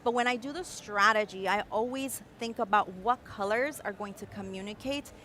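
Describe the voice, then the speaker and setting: A young adult woman, born in Mexico City and raised in Caracas, explaining her design process with expressive enthusiasm in a live-stream interview. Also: mid-range voice, moderate pace, slight background noise. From Mexico City, raised in Caracas, Venezuela. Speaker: guest designer.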